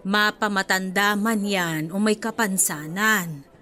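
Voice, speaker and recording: A woman playing a kindly, apologetic older student in a radio drama, speaking in a gentle, motherly and patient way. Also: medium pitch, steady tone, slow. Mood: hurt but firm. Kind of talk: radio drama acting in Filipino. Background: music bed.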